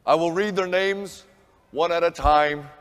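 A middle-aged man on stage playing a grave newspaper man who addresses an anxious crowd, in projected, halting speech. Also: fairly high pitch, smooth. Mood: grave, reluctant. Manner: loud, halting, solemn public announcing. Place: theatre stage, filmed from audience. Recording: long hall reverb, faint crowd noise.

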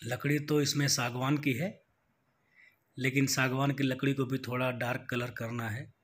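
A man's voice: mid-range voice, flat delivery, normal speed. A man in his thirties, a wood polisher, explaining his work step by step in a plain, practical way, with small restarts. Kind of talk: how-to voice-over.

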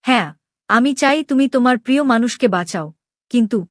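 A woman plays a young princess in a cartoon drama, her voice fairly high and closely recorded. She is proud and wounded in love, pressing her questions with open, demanding emotion. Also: expressive, brisk, no room sound, clean studio sound, close to an everyday voice. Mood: earnest, hopeful. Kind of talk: dramatic Bengali animated story dialogue.

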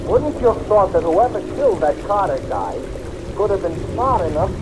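Cowardly new sidekick man, wisecracking n whiny, bit high, old radio show w rain noise. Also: sing-song, moderate pace. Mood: puzzled, comic. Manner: comic, plaintive, streetwise. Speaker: radio drama supporting actor. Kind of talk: 1950s radio acting.

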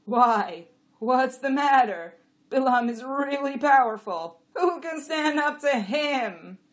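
A woman's voice: high voice, lively intonation, takes it slowly, pitched above the performer's own voice. A woman voicing a dim, bickering male pack donkey with a blunt manner in an audiobook story. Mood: puzzled, uneasy. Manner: blunt, stubbornly echoing complaints. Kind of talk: audiobook character voice.

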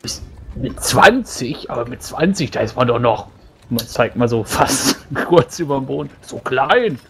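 A man's voice: mid-range voice, expressive, a little slow, slight background noise. Man playing a naive, easily astonished dwarf. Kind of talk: in-character tabletop roleplay.

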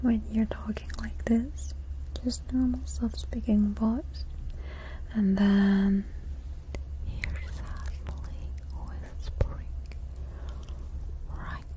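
A woman's voice: fairly high pitch, steady tone, drawn-out words, pauses often, rough recording. Close-miked whispering young woman. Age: young adult. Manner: soft unvoiced whispering close to the mics. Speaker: ASMR streamer. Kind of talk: ASMR whispering live stream. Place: small indoor room before a blue backdrop. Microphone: two foam-covered shotgun mics right at her face.

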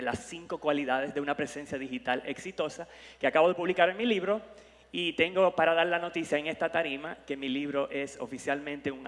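Playful man on a big conference stage. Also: on the high side. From born in New York, parents from the Dominican Republic. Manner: playful, jokey, self-promoting. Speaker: conference speaker. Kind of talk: humorous keynote talk. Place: large conference hall stage. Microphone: headset mic. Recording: long hall reverb.

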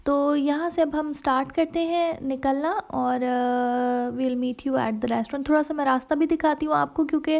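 A woman's voice: sits high, steady tone, unhurried, vocal fry, few pauses, a little mellow, highs cut off. A woman travel vlogger narrating her trip casually, slipping English phrases into her talk with frequent fillers. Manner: chatty, mixes English phrases into Hindi-Urdu, many fillers.

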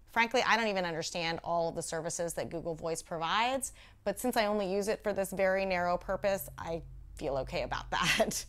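A woman in her thirties presents a how-to video on a lapel mic. She speaks at a medium pitch in an instructional way, going step by step and dropping in conversational asides. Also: fairly bright tone. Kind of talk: step-by-step tutorial to camera.

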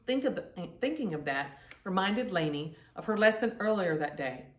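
A woman's voice: medium pitch, expressive, moderate pace. Middle-aged female storytime host, mid-range voice, reading to children from a little way off the mic in a roomy space. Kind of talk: read-aloud children's storytelling. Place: indoor room at home. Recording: roomy, distant-mic home recording.

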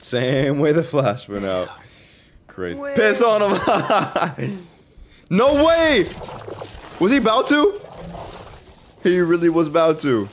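A man's voice: a little high, sing-song, slow pace, long pauses, a little hiss or hum. A man giving casual, profane and blunt reaction commentary over a video game. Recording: game music behind voice.

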